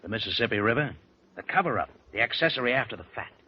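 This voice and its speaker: An actor playing a brash, wisecracking newspaper editor with punchy, clipped delivery in a 1940s radio drama. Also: male voice, medium pitch, lively intonation, fast, slight background noise, lightly acted. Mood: dramatic, wry. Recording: dull old radio recording.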